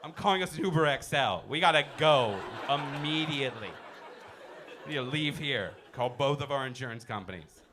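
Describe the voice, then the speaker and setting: A young man from Iran doing a brash, animated stand-up set in a comedy club and teasing the crowd on a handheld mic. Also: mid-range voice, normal speed, noisy background. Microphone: handheld stage mic.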